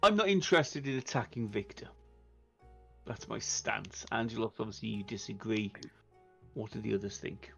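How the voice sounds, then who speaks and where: Guy doing a firm wary vampire leader, low gravelly voice, measured, slightly dull audio. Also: a bit bright, soft background music, full character voice. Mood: decisive. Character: wary, diplomatic male vampire leading his group.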